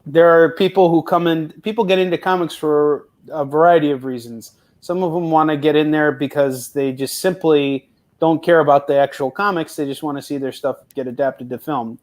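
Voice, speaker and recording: A man hosting an interview, affirming his guest and building long questions out loud. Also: fairly high pitch, fairly even intonation, normal speed. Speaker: podcast host. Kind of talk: casual interview talk.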